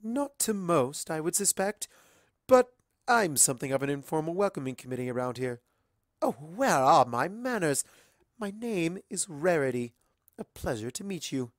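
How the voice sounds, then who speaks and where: A performer voicing an elegant, gracious unicorn mare socialite in a storybook reading, with refined, effusive, drawn-out phrasing. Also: mid-range voice, big pitch swings, dry recording, clean studio sound, voice pushed up. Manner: effusive, genteel, drawn-out words.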